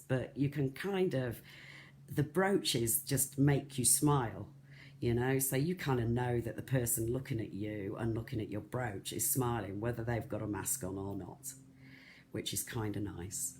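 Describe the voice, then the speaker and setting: A croaky, soft-voiced presenter, chatting through a live beading tutorial with lots of ums and restarts. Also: mid-range voice. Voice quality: soft, not loud. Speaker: craft shop tutor.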